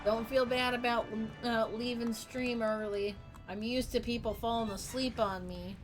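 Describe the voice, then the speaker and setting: A woman with a fairly high voice talks slowly and calmly while she plays a game, chatting to her viewers. Soft game music runs faintly behind her. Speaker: streamer. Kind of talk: casual game stream chat.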